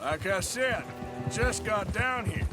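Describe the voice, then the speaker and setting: A gruff gunman in a western video game, American and dryly sardonic. He speaks in short, grudging bursts, like a reluctant hired hand. Game music plays strongly behind him. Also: high voice, lively intonation, slow pace. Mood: weary, dry. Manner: terse, dry, grudging.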